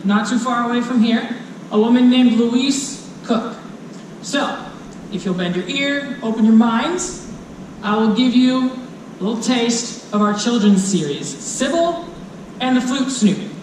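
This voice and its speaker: A storyteller reading rhyming children's fantasy to a live audience in a dramatic, playful way, speaking directly to listeners. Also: mid-range voice. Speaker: storyteller presenting a children's book. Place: convention stage before a live audience. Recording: echoey live hall, distant mic, faint noise.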